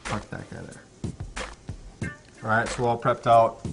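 A man's voice: mid-range voice, unhurried, gravelly, over music. Young man narrating a home cooking demo. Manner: relaxed step-by-step narration while cooking. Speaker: cooking show host. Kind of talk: casual cooking demonstration. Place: home kitchen, indoors. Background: frying and sizzling from the pan.

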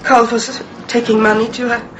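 A woman's voice: a little high, level tone, moderate pace, lo-fi sound, over music, lightly acted. A woman playing a stern, sorrowful housekeeper and wife in a radio drama, speaking in terse, formal sentences. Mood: grave, bitter. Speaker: actor.

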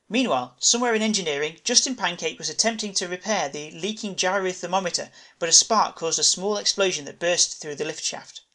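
A man's voice: high-pitched, pushed and bright. A man reading a scripted comic story as its narrator. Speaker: narrator and writer.

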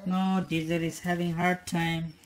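A woman's voice: on the low side, flat delivery, a little slow, heavy background noise. A woman narrating toy train runs in an upbeat, casual way while playing with a child. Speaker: toy demonstrator. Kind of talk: casual toy play commentary.

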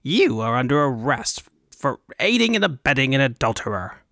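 A man's voice: mid-range voice, very animated, normal speed, a bit bright, no echo, clean studio sound. A man voicing a pompous, short-tempered fat governor in an audiobook, squealing and blustering with heavy emphasis. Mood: furious.

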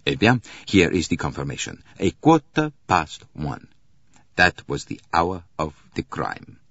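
A man's voice: low voice, big pitch swings, unhurried, a bit bright, husky, no echo, lo-fi sound, heavily acted. A man voicing an earnest, courteous doctor in an audiobook, eager and precise in a formal way. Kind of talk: audiobook character voice.

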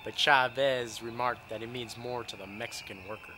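A stiff government bureau agent delivers a formal report acted by a man. The voice is medium in pitch and extremely forceful, over faint background noise. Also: steady tone, moderate pace, close to an everyday voice. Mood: stiff, official. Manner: formal, official. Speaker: actor in an oral history dramatization.

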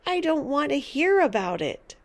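Woman voicing a plaintive, high-pitched little boy. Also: sing-song, slow pace, creaky, exaggerated voice. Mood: dismayed, protesting. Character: small eight-year-old boy, polite, dismayed by his grandmother.

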